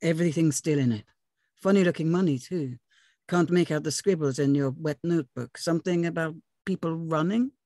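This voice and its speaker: A woman's voice: sits low, brisk, warm tone, no echo, studio quality, close to an everyday voice. A woman voicing a devout, shrewd older woman of fifty in 1830s Virginia, in terse, folksy pronouncements at a script reading. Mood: plain, curious. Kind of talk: script table read.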